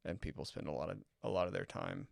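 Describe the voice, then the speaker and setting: A man's voice: extremely low pitch, sing-song, fast, creaky, no echo, clean studio sound. A man co-hosting a podcast in casual chat, agreeable and explaining his points at length. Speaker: podcast co-host.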